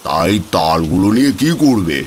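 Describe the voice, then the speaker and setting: Low-voiced kindly old village headman. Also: very animated, normal speed. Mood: inquisitive. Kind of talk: Bengali cartoon fairy-tale voice acting. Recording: rain noise behind.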